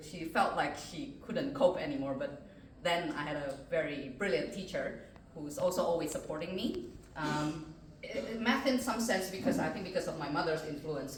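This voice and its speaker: An Indonesian-accented woman in middle age talks through her story on a panel, earnest and chatty, with plenty of ums and uhs. Her voice sits a little low. She sounds far from the mic, in a strongly reverberant room with faint noise behind. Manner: earnest and chatty, with many fillers. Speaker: mathematics professor on a panel. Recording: echoey room, distant mic.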